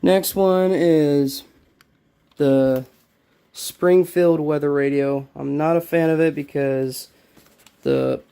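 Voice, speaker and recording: A man's voice: a little high, lively intonation, warm tone, smooth. Man casually rambling through a show-and-tell. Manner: halting, rambling, repeats himself. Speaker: vlogger showing a personal collection. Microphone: handheld phone.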